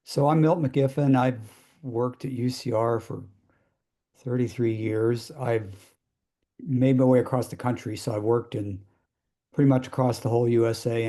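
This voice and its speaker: Mellow-voiced man in his fifties. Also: medium pitch. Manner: easygoing, halting. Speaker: crop scientist. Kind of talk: panel guest on video call.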